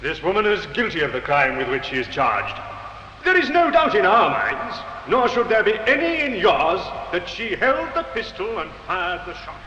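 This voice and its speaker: A muffled, echoey old radio recording of a stern male prosecutor. He is accusing and insistent, his fairly high voice swinging animatedly. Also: very animated, normal speed, a little hiss or hum, heavily acted. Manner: sharp, rhetorical, insistent. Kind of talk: 1950s radio drama acting.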